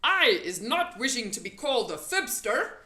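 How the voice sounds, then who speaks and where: A woman's voice: on the high side, big pitch swings, normal speed, sharp tone, roomy sound, voice pushed up. A woman voicing a big, friendly male storybook giant, earnest and easily wounded, with muddled folksy grammar and an emphatic delivery. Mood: offended.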